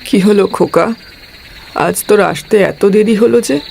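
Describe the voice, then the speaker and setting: Woman as creaky-voiced elderly village matriarch. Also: medium pitch, unhurried, a little mellow, slight background noise. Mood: chiding concern. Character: elderly village mother, worried, authoritative family matriarch. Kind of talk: Bengali horror cartoon dialogue.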